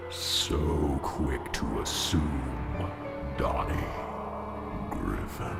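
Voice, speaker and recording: An actor playing a cruel, taunting male voice inside a man's head, mocking in a slow hiss and breaking into shouts, recorded with echo and loud music. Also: a bass voice, gravelly, full character voice. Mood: menacing. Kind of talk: amateur radio drama.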